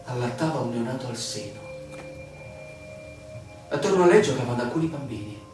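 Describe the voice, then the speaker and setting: An actor playing a weary, bewildered male stranger telling his story with deliberate theatrical emphasis in a radio play. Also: mid-range voice, animated, music in the background, natural voice. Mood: weary, absorbed recounting. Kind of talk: theatrical radio drama monologue. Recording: very echoey, distant, noisy radio play recording.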